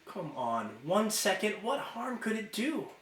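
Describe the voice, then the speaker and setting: A man's voice: sits high, big pitch swings, unhurried, a bit bright, voice pushed up, heavily acted. Guy doing a captive fairy lady cop from a storybook, defiant n sly, clipped, echoey room. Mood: coaxing. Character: defiant, cunning captive female fairy officer.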